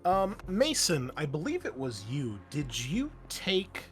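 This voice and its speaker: A man running a tabletop roleplaying game as game master, explaining rules and scenes with thinking pauses. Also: on the high side, huge pitch swings. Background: music.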